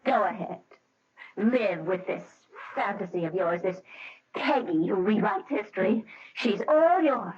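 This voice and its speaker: Bitter wronged wife, husky, melodramatic old radio play, sounds muffled and off mic. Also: female voice, medium pitch, expressive, a little slow, recorded from a distance. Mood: bitter, anguished. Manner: melodramatic, biting. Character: bitter, wronged middle-aged wife standing her ground. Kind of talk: vintage radio drama acting.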